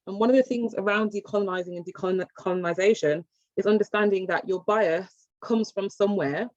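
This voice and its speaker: A woman's voice: medium pitch, brisk, a little mellow, no echo. A British woman giving an informal, chatty online workshop presentation, with many fillers and restarts. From England. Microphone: video call. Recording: muffled dry video call audio.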